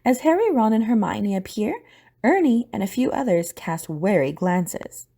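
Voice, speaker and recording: A woman's voice: mid-range voice, expressive, normal speed, creaky, mellow, husky. A woman narrating an audio drama from a script as a storyteller.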